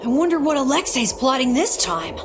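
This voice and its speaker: A woman's voice: high voice, very animated, fairly quick, noisy background. A woman playing a determined young female fighter in a dramatic video game scene. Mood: curious.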